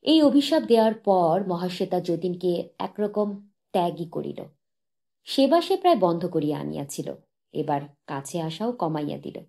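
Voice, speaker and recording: A woman narrating a literary short story as an audiobook, reading in a measured, solemn manner. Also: lowish voice, dark tone.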